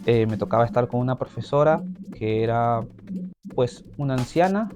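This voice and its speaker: A man with a Venezuelan accent speaking slowly and reflectively as a migrant in a documentary. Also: mid-range voice, expressive, over music. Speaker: migrant interviewee. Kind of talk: documentary testimony.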